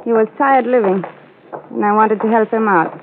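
An actress playing a cool, enigmatic bar-room femme fatale in an old radio drama, with measured, formal phrasing. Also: female voice, on the high side, narrow, tinny audio, noisy background, natural voice. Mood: cool, knowing. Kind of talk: 1940s-50s radio drama acting. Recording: thin old radio recording, faint music.

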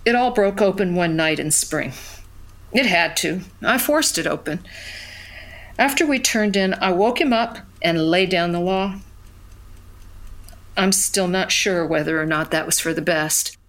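An actress playing a regretful young mother who recounts her past in a measured, reflective storytelling style for an audio drama. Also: on the low side, fairly bright tone. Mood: wistful. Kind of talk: narrated audio fiction, confessional storytelling.

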